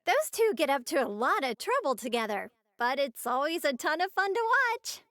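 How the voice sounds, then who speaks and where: A cheerful young anime-game character with a high, very animated voice. She sounds sweet, agreeable and supportive, with gentle curiosity, in a dry studio recording. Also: normal speed, dry recording, full character voice. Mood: sympathetic, amused. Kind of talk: English anime game dub banter.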